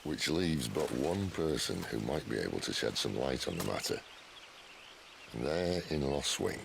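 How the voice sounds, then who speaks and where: A worldly rebel leader from a fantasy video game, with an extremely deep voice and a gravelly grain. He talks in measured, sardonic understatement, roguish and dry. Faint noise sits behind him. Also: big pitch swings, full character voice. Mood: musing, grave.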